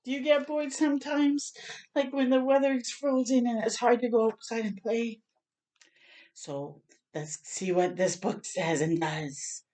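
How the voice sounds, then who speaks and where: Slow-paced woman reading a storybook aloud. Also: fairly high pitch. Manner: animated, asks the listeners questions. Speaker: storytime host reading a picture book aloud. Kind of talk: expressive children's read-aloud with asides to young listeners.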